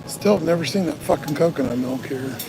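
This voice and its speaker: A man's voice: a little high, brisk, music in the background, rough recording. A man on a casual live stream, chatting with viewers from his car and swearing often. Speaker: live streamer doing delivery driving. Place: inside a car.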